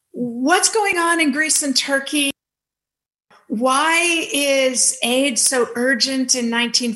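A woman with a high, bright voice conducts an interview. She puts her questions in a measured way, at a slightly slow pace.

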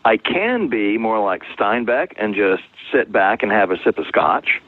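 A man with a fairly low voice speaks as an interviewed novelist over a narrow, phone-like connection. He explains things conversationally, often by way of anecdote, and the sound is dry, with no room around it. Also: big pitch swings, a little slow, no echo.

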